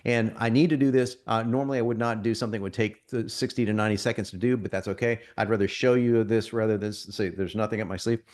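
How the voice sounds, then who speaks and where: Sniffly man giving a casual software demo. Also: fairly low pitch, steady tone, quick pace, few pauses. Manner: conversational, salesy, frequent restarts and stumbles. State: getting over a cold, sniffly. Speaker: marketing workshop presenter. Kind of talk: live software demo to an audience.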